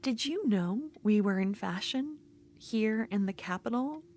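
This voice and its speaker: A woman playing an obsessive, unhinged female duelist rival in an ASMR fantasy roleplay, speaking intimately and often slipping toward a breathy whisper. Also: mid-range voice, animated, a little slow, darkish tone. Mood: brooding, gloating.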